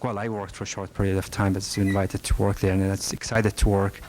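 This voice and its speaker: A middle-aged man from northern Afghanistan with an Afghan accent, speaking halting English with many restarts while answering questions at a library talk. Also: low voice, little pitch movement. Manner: halting, frequent restarts and fillers, soft-spoken. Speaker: rug merchant giving a talk. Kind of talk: answering audience questions at a presentation. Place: library meeting room. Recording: faint background hiss, archival recording.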